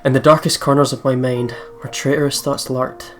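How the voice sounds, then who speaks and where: A woman's voice: rumbling low, fairly even intonation, normal speed. A woman hosting a let's play, reading a visual novel aloud in a dramatic, reflective manner. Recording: game music behind.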